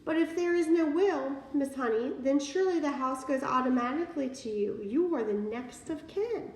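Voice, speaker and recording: A woman voicing a bright, eager little girl who asks earnest, curious questions in a storybook reading. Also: high voice, moderate pace, voice pushed up, natural voice. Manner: earnest, wide-eyed questioning. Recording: roomy, distant mic, faint noise.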